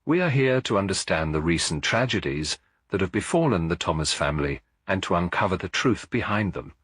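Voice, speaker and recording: A man voicing a gentle but firm elderly lady detective in a storybook mystery, polite and probing. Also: low-pitched, animated, a little fast, husky, no echo, clean studio sound, exaggerated voice.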